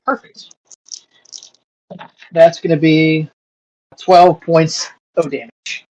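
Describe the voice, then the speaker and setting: A tabletop game player chatting casually and announcing moves in brief, unhurried turns. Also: mid-range voice, fairly even intonation, darkish tone, poor audio.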